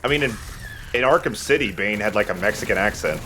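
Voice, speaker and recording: A man's voice: on the low side, animated, fast talker, sharp tone, slight background noise. A man giving casual commentary while he plays a video game, speaking as the host of a let's play. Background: game music.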